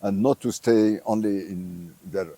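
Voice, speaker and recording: A man's voice: on the low side, steady tone, unhurried, dark tone, breathy edge, faint music behind. An older man from Morocco, a visiting tourism professor, answering interview questions in English with many fillers and restarts.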